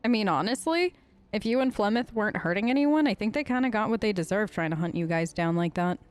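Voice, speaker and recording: A woman improvising in-character role-play chat for a let's play, casual and halting. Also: medium pitch, lively intonation, soft background music. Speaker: let's player role-playing game dialogue aloud.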